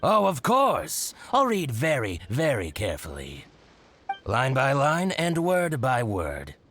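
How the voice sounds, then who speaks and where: An actor playing a scheming, spiteful shopkeeper in a video game, with exaggerated, exclamatory, gloating delivery. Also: male voice, mid-range voice, wildly swooping pitch, slow pace, a bit bright, a little hiss or hum, exaggerated voice. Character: scheming, theatrical male shopkeeper, gloating and spiteful toward rival.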